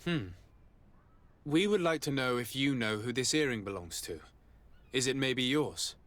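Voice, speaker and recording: A composed investigator in a video game. His mid-pitched voice stays polite and measured in manner while he presses for answers. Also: lively intonation, fairly bright tone. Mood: polite, probing. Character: composed, courteous but pressing male investigator.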